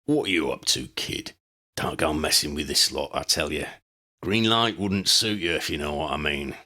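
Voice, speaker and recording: A man voicing a gruff, shady middle-aged wizard dealer who talks in rough slang. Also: a bass voice, moderate pace, bright, forceful tone, exaggerated voice. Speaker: voice actor.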